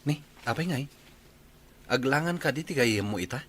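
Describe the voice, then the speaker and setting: A man's voice: medium pitch, lively intonation, moderate pace, fairly bright tone. A man playing a polite, persistent young suitor in an Ilocano radio comedy drama, with a Filipino accent. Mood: hopeful. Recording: clear background noise and ambience.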